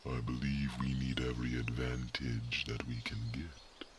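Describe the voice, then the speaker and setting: A man voicing an ancient, wise male guardian who is not human, in an ASMR roleplay. He whispers his grave, measured counsel softly. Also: extremely low pitch, flat delivery, music in the background. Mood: solemn counsel.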